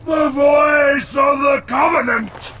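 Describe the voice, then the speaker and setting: An actor playing a fanatical old alien prophet in a video game, grandiose and raving in a shrill, croaking voice. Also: male voice, steady tone, bright, forceful tone, raised voice, slight background noise, heavily acted. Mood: raving, defiant. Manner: halting, grandiose proclaiming. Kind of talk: dramatic video game voice acting.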